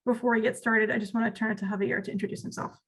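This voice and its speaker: A woman with a fairly high voice presents to an online audience. She sounds upbeat and friendly and fills her sentences with ums, heard through video call audio. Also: fairly even intonation, very fast. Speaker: community outreach presenter.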